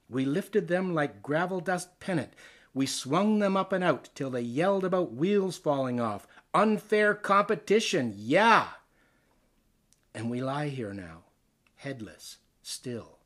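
A Canadian man, an actor, reading poetry aloud in a theatrical way with deliberate phrasing. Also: a little high. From Ontario, Canada.

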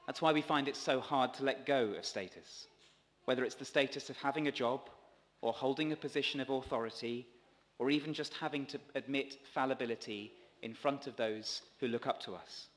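A man in his thirties, a priest, delivers a scripted sermon in a measured, earnest way from a church pulpit. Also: mid-range voice, a bit bright. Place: large stone church, at a pulpit. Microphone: gooseneck lectern microphone. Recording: long church reverb.

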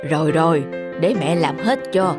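A woman voicing a gentle, lonely elderly country grandmother in an animated family drama, homely and apologetic. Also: sits low, normal speed. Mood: obliging. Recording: music under the voice.

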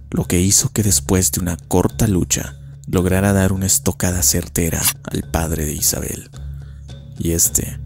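A male narrator tells a legend in a dramatic scripted style. His voice is deep and gravelly. Faint music accompanies him. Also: darkish tone.

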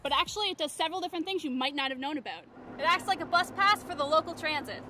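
A woman's voice: sits high, expressive, rapid-fire. A young woman reading a scripted promotional narration. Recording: music under the voice.

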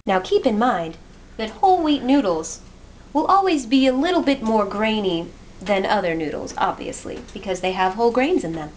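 A woman's voice: medium pitch, animated, moderate pace. A young woman giving a jokey, chatty cooking demonstration in a small home kitchen, recorded on a handheld camera. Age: young adult. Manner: chatty, jokey, self-mocking, moving around while cooking. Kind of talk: playful how-to narration. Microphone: handheld camera mic, moving. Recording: noisy home camera audio.

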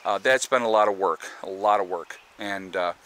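A man's voice: low voice, moderate pace, a bit bright. A man with a Northeastern American accent, walking around his homestead with a handheld camera and talking through a casual vlog, rambling with many fillers and restarts. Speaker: homesteading vlogger. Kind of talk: casual walk-and-talk vlog. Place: outdoors on a rural homestead.

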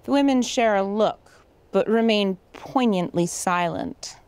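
A woman's voice: mid-range voice, measured pace, a little mellow, clean tone, dry recording. A woman reading scripted narration and stage directions for an audio drama.

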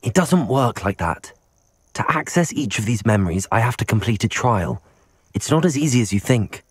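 A man's voice: mid-range voice, expressive, moderate pace, no room sound, studio quality, close to an everyday voice. An actor playing an earnest young wizard student who reports urgent news to his elders.

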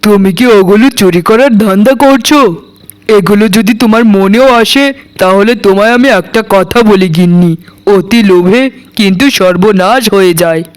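A man's voice: high voice, moderate pace, lightly acted. A man voicing a meek, honest husband who plaintively admonishes, in a cartoon. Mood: admonishing. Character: meek, injured husband, honest, reproachful and moralizing. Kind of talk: Bengali cartoon voice acting.

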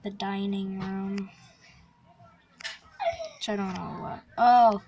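A woman's voice: mid-range voice, level tone, slow, background noise. A young girl touring a game map, talking casually with lots of likes and ums. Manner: hesitant, full of likes and ums. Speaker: Minecraft player touring a map. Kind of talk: casual gameplay commentary. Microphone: phone screen recording.

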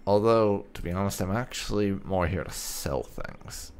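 A man's voice: low-pitched, expressive, normal speed, clear, resonant tone. A man giving casual, wry commentary on his video game playthrough, often half talking to himself. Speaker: gaming video host.

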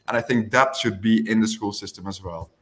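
Young guy on a video call, earnest, kinda halting and repeating words. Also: fairly low pitch, noisy background. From Belgium. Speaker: guest panelist. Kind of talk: earnest unscripted panel talk.

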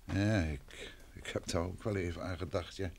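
A genial, courteous detective of old radio drama, with a lowish voice. He sounds self-assured and probing, over the light noise of a vintage recording. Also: fairly even intonation, moderate pace, vocal fry. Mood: pensive. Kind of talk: old Dutch radio play acting.

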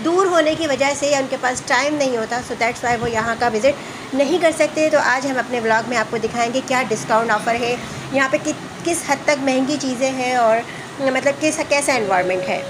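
A woman's voice: sits high, normal speed, noisy background. A woman hosting a chatty walking vlog through a large indoor mall, mixing English phrases into her talk. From Pakistan. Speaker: vlogger host.

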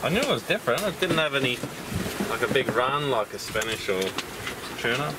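A man's voice: medium pitch, animated, fairly quick. A man, chatting offhandedly on a sailing boat at sea. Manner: laid-back, offhand remarks. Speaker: skipper and fisherman. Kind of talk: casual chat. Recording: windy outdoor camera audio.

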